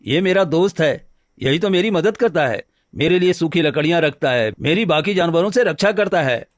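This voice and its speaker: A man playing a gentle, anxious village woodcutter in a cartoon story, speaking earnestly and hesitantly. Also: on the high side, lively intonation, moderate pace, no echo, clean studio sound, natural voice. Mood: earnest, pleading. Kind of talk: scripted Hindi cartoon story dialogue. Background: background music.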